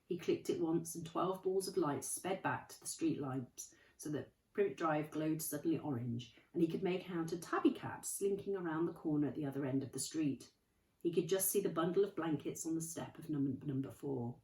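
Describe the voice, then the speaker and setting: Teacher reading a story in an echoey room. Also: female voice, low voice, normal speed, a little mellow, recorded from a distance. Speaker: primary school teacher. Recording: roomy, from off the mic.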